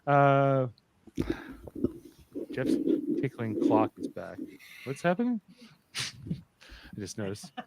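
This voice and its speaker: A man's voice: medium pitch, sing-song, slow pace, creaky, pauses often, a little mellow, slight background noise, poor audio. A man hosting a casual fan podcast chat, talking in a rambling, joking way.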